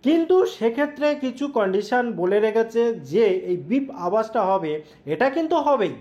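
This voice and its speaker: A man's voice: very high-pitched, animated, normal speed, darkish tone. A man giving an emphatic, explanatory step-by-step phone tutorial, speaking directly to the viewer. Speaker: tech tutorial YouTube host. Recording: dull, lo-fi voice recording.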